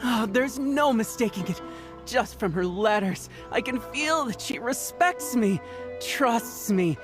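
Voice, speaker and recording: A woman's voice: fairly high pitch, lively intonation, takes it slowly. A woman voicing an adoring, eager young fan club member in an anime video game. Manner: gushing, eager. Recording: game music behind the voice.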